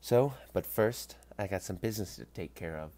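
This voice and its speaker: A man hosting a casual solo sports podcast, stuffy-nosed from allergies, rambling and correcting himself mid-sentence with wry deadpan asides. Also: low voice, normal speed. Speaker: podcast host.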